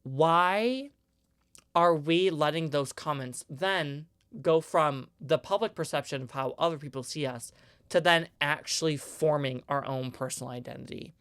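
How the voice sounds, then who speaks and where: A young man in his twenties with a higher-pitched, smooth and clear voice gives a solo podcast monologue. He rants in an earnest, emphatic way. The sound is close and dry, with no room echo. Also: a little slow, fairly bright tone. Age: 20s. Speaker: podcast host.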